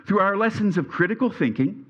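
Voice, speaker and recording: A man's voice: high-pitched, expressive, brisk, a bit bright, highs cut off. A middle-aged man from Pennsylvania with a Pennsylvania Dutch accent, giving a prepared speech at a reunion and stumbling over his words a little. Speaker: alumni speaker. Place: reunion event hall.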